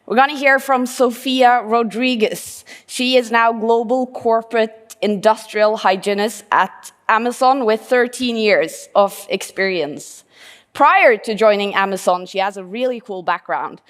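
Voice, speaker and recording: A woman's voice: fairly high pitch, unhurried. Woman introducing speakers in an echoey hall. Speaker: conference presenter introducing speakers. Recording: reverberant hall.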